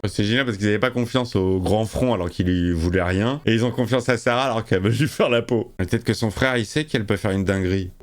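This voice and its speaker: A man's voice: lowish voice, fast talker, hardly any pauses, music in the background. A man giving sarcastic, crude running commentary while watching a show, laughing at the characters. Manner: mocking, laughing, crude asides. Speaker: reaction video host.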